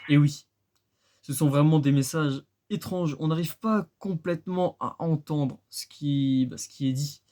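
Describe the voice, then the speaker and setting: A man's voice: on the high side, a little slow, dark tone. A man giving a casual explainer, conversational, with rhetorical questions and phrases repeated for emphasis. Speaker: presenter of a radio-hobby video.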